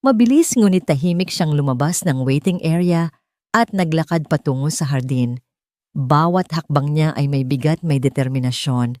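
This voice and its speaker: A woman's voice: deep voice, moderate pace, mellow, no echo, studio quality. A woman with a Filipino accent reading a scripted dramatic story as an audiobook narrator.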